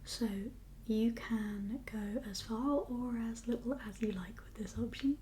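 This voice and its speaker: Softly whispering woman, gentle and breathy. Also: a little high, measured pace, slight background noise. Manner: gentle, reassuring, hesitant consultation patter. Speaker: ASMR performer playing a doctor in a roleplay. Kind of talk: ASMR whispered roleplay.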